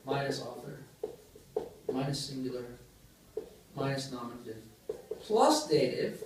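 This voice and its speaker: A man's voice: medium pitch, very animated, measured pace, background noise. A man giving a university linguistics lecture, explaining step by step and putting questions to the class. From Greece. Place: large lecture room. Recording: echoey lecture hall from far off.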